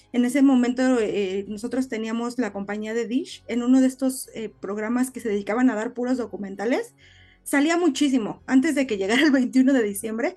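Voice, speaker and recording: A woman's voice: on the high side, a little mellow. A woman with a Mexican accent co-hosting a casual podcast, talking in a rambling, searching way. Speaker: podcast co-host.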